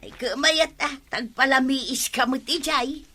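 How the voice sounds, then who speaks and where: A woman's voice: high-pitched, measured pace, pushed and bright, slightly rough, heavily acted. An actress with an Ilocano accent playing a curious village woman who asks question after question in a chatty way. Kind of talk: 1950s-style radio comedy acting.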